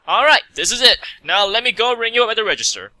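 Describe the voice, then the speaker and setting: A man's voice: sits high, sing-song, quick pace, sharp tone, dry recording, voice pushed up, exaggerated voice. A man voicing an elegant, haughty female pony seamstress in a prim, businesslike manner for a fan story reading. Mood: prim, brisk.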